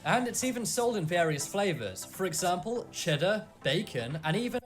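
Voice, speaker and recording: A voice-over narrator reading a scripted list video in a bright, sing-song delivery. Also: medium pitch, very animated, moderate pace, soft background music.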